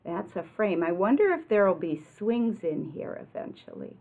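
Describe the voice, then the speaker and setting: An American woman in her sixties reads a picture book aloud like a teacher, expressively and deliberately, adding explanations and questions for young listeners. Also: medium pitch, sing-song, vocal fry. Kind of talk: children's read-aloud with explanatory asides. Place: classroom, indoors. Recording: muffled, lo-fi webcam-like sound.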